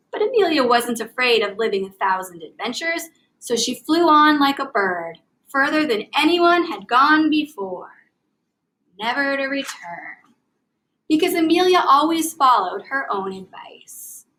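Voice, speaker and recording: A woman teaching young children in an expressive, carefully enunciated read-aloud style, speaking as an elementary reading teacher. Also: high voice, unhurried, low-quality recording.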